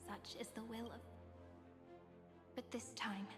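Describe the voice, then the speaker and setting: A woman's voice: a little high, little pitch movement, slow pace. A woman voicing a solemn, prophetic heroine in a video game, formal and portentous. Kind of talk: dramatic video game dialogue. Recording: long reverb, music underneath.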